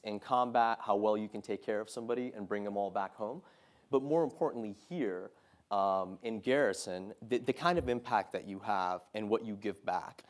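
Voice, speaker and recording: A man in his thirties giving an earnest, measured public talk to an audience as a guest speaker, pausing often on "uh" and "um". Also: on the low side. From Saudi Arabia. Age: 30s. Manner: earnest, measured, many "uh" and "um" fillers. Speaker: guest speaker, Marine officer.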